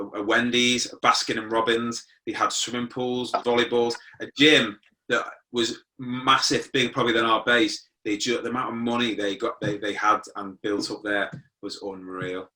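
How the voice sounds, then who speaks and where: A British man in his forties with a low-set voice and a bright, forceful edge. He tells his story informally, as a guest would in an interview, and sits a little way from the microphone. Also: flat delivery, normal speed, distant mic, poor audio. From born in Germany, moved to the UK at nine. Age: 40s. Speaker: interview guest, motivational speaker.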